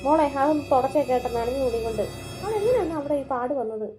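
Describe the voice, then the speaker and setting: A woman's voice: sits high, very animated, fast talker, music in the background. A young woman playing a busy mother in a home-made family skit, fussing and scolding. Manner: fussy, nagging, scolding. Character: busy mother who runs a beauty parlour.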